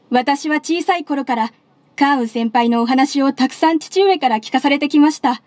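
High-voiced, earnest secretary. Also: female voice, no room sound. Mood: earnest, reflective. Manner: polite, earnest, measured. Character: earnest, respectful young female secretary, a working mother. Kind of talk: Japanese anime game voice acting. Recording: studio voice over game music. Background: soft background music.

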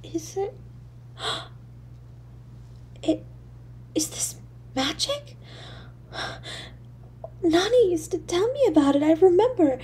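A woman voicing a poor, lonely little girl in an audio drama, halting and breathy, with childlike stammering. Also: very high voice, big pitch swings, dark tone, a little hiss or hum, full character voice. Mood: hushed wonder. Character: poor, lonely, freezing little match girl, timid and yearning. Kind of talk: solo audio drama monologue.

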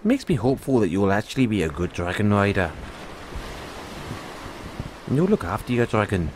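A man playing a free-spirited, kindly dragon rider and mentor in an audio roleplay, musing and unhurried with pauses. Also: on the low side, sing-song, a little mellow, a little hiss or hum. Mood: encouraging, hopeful.

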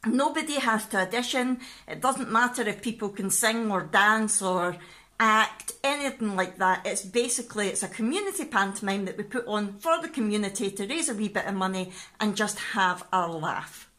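A Scottish woman in her thirties chatting casually and excitably to a vlog, recorded on a phone at arm's length in a living room. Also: mid-range voice, moderate pace. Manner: excitable, expressive. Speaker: family vlogger.

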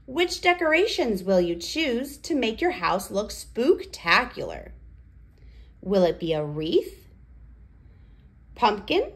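Slow, lilting woman reading to toddlers. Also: on the high side, very animated. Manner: sing-song, simple, deliberate phrasing with questions. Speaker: children's read-aloud host. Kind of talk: read-aloud for toddlers.

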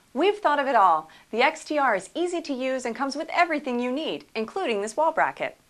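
A woman's voice: a little high, fairly bright tone. A woman reading scripted promotional narration for a product video in a polished, upbeat sales manner.

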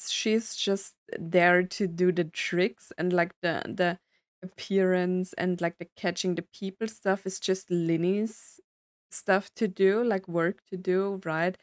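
A woman's voice: medium pitch, no room sound. Casual young woman reacting, dry close sound. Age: young adult. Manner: informal, hesitant, thinking aloud. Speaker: reaction video host. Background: faint game music.

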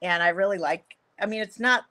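Middle-aged woman, deep-ish but bright voice, chatty, lots of ums and restarts, home video call. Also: fairly quick. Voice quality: deeper voice for a woman. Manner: chatty, many fillers and restarts, laughs often. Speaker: guest, photographer and songwriter. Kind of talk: casual interview chat.

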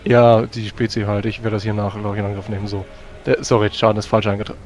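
A man's voice: on the low side, little pitch movement, quick pace. A man chatting casually as a player in a tabletop role-playing game. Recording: music faintly behind the voice. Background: background music.

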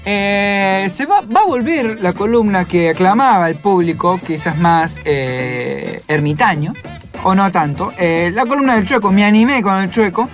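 A man with a Rioplatense Argentine accent hosts a casual radio show. He sounds playful and chatty, often ending phrases with a questioning "eh". Clear music plays under his talk. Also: sits high, animated, measured pace. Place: radio studio. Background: loud music bed.

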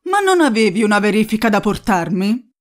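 A woman's voice: medium pitch, big pitch swings, a little fast, lightly acted. Woman playing a stern, commanding schoolteacher. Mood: curt, impatient. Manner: curt, commanding. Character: stern, feared female schoolteacher, secretly undercover police. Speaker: actor in a comedy sketch.

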